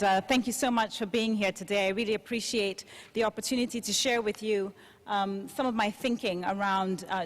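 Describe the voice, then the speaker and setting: A woman from Zambia giving a friendly, conversational talk to an audience as a panelist. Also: medium pitch. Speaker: panelist speaker. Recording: long hall reverb.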